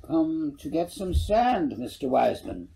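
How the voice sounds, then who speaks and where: A man's voice: on the high side, big pitch swings, measured pace, vocal fry, warm tone, pitched above the performer's own voice, exaggerated voice, poor audio. A man voicing an eager, curious young country boy who asks questions in a storybook reading. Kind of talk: audiobook character voice.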